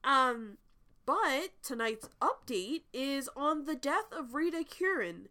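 A woman's voice: high-pitched, sing-song, slow, bright, forceful tone. Congested young woman hosting a podcast. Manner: chatty with frequent ums, laughs between lines. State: congested from a cold. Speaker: podcast host. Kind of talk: casual true crime podcast update.

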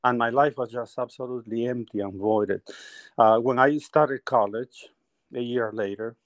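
A man's voice: medium pitch, normal speed, no echo. A middle-aged man from Peru, a painter telling his life story as a guest in a conversational interview, often laughing as he talks.

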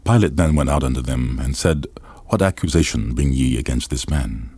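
A man narrating scripture in a grave, deliberate, formal reading style. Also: rumbling low, expressive, mellow, husky, no room sound. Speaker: Bible reader.

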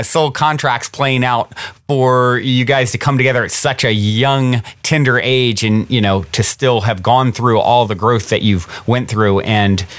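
A man's voice: medium pitch, dry recording. Rambling male podcast host, dry sound. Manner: warm-hearted, rambling, heavy on fillers. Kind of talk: reflective interview conversation. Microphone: remote interview over the internet.

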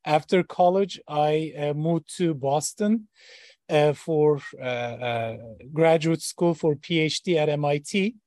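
A man in his forties, from Turkey, speaks as a podcast guest in a fairly high voice. He hesitates often and fills the gaps with many ums and uhs. The sound is close and dry. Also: slow, a little mellow, dry recording. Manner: hesitant, many fillers and restarts. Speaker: startup founder and professor. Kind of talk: interview guest.

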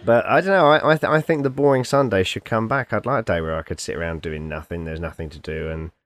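A man's voice: on the low side, fast, dry recording. A man co-hosting a podcast, chatting in an easygoing way. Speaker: podcast co-host. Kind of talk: casual chat.

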